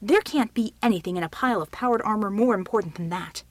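A woman's voice: on the low side, normal speed, no room sound, natural voice. A woman voicing a protective, firm military man in an audiobook story. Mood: commanding. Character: steady protective military male helper, quiet but commanding.

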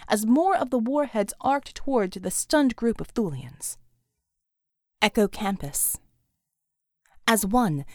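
A woman's voice: medium pitch, no room sound, clean studio sound. A woman reading scripted action fiction as a dramatic audiobook narrator.

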